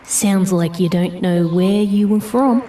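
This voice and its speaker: Woman as a slow, smug cave salamander. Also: medium pitch, dark tone, a little hiss or hum, close to an everyday voice. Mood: smug, doubting. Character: blind cave salamander, smirking, sceptical know-it-all. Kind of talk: storybook character dialogue.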